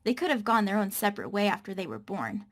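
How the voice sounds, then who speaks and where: A woman's voice: mid-range voice, sing-song, fast. A woman playing an earnest explainer of legends in an amateur fantasy table read. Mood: knowing.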